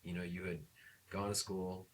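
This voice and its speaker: A man's voice: low-pitched, little pitch movement, moderate pace, rough recording. A man hosting a health talk show, chatting casually and a little hesitantly with his guest. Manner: easygoing, hesitant, laughs often. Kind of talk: casual interview chat. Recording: faint hiss.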